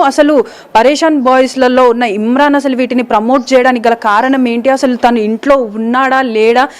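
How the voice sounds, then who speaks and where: A woman field reporter giving a live on-location report, explaining in a wordy, repetitive way. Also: sits high.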